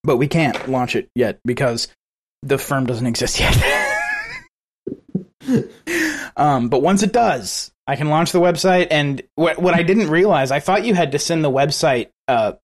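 A man's voice: fairly high pitch, measured pace. Chatty, laughing male podcast host. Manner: rambling, laughs often between thoughts. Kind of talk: casual chat.

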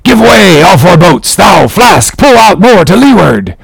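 Guy doing a thundering old ship captain, way up high, yelling commands. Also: very animated, measured pace, vocal fry, husky, no room sound, heavily acted. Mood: urgent, commanding. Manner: shouting orders across the deck.

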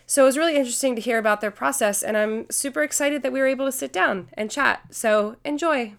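A woman's voice: high-pitched, fast, creaky. A young woman hosting a podcast, upbeat and chatty with many "um" fillers, her voice congested from a flu. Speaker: podcast host. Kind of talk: podcast intro and interview.

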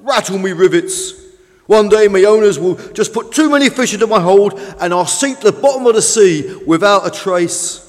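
A man's voice: high-pitched, animated, moderate pace, pitched above the performer's own voice. A man voicing a weary, gloomy old fishing boat in a children's story, complaining and snappish, in a reverberant hall. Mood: weary grumbling.

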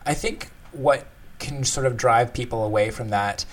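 A man's voice: medium pitch, normal speed. A man co-hosting a casual discussion, explaining his thoughts in a thoughtful, conversational way. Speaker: podcast co-host discussing game design.

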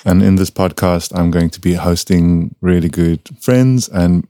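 A young man from South Africa hosting a casual podcast from his home studio, talking in a relaxed, rambling way with many fillers. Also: sits low, unhurried, dry recording. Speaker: podcast host.

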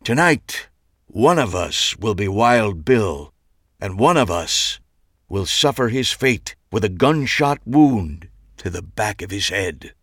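A man voicing a showy male stage magician with dramatic, drawn-out announcing in a storybook reading. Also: on the low side, sing-song, a bit bright, no echo, studio quality, heavily acted. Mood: theatrical.

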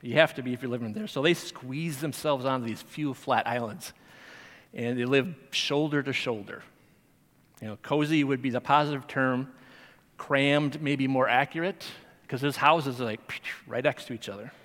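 Male lay teacher, mid-pitched, casual sermon delivery, long church reverb. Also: moderate pace, fairly bright tone. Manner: conversational, chatty, with frequent fillers and restarts. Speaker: Sunday school teacher. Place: church sanctuary.

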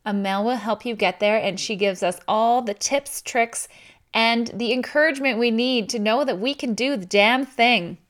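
A woman's voice: a little high, normal speed, fairly bright tone. A woman hosting a conversational interview with an upbeat, encouraging manner. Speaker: podcast interview host. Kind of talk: introducing a guest, conversational interview.

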